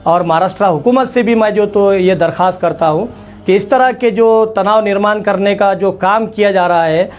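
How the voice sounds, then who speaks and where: A man's voice: sits high, normal speed, faint music behind. A man giving a formal political address as an organisation leader, speaking emphatically and repeating phrases for effect. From India.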